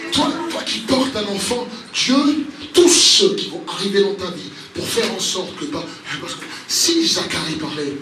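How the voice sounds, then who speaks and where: A man preaching and praying as a pastor to a congregation, speaking spontaneously and emphatically with repeated exhorting phrases. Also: high-pitched. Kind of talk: spontaneous charismatic sermon with prayer. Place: large echoey room, church service. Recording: roomy, distant mic, noisy background.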